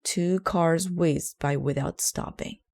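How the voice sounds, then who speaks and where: A woman narrating a children's book aloud in a measured, clearly phrased way. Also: low voice, fairly even intonation, a little mellow. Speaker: storybook reader.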